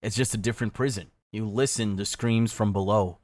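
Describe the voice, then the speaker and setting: A man reading dramatic scripted second-person narration in a grave, measured storytelling manner. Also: on the low side, steady tone, darkish tone. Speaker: video narrator. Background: background music.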